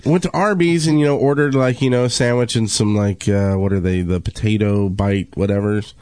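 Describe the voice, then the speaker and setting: A man's voice: on the low side, steady tone, a little fast, a little mellow, dry recording. A man co-hosting a gaming podcast, telling stories in a casual, rambling way. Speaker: podcast co-host.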